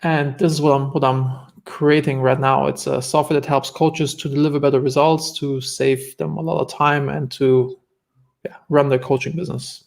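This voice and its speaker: A man's voice: mid-range voice, flat delivery, normal speed, vocal fry. A man with a German accent, a guest entrepreneur telling stories in an earnest, reflective way during a casual interview.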